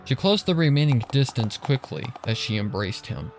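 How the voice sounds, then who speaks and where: A man's voice: mid-range voice, very animated, moderate pace, faint music behind. A man reading scripted dramatic story narration for a fan fiction.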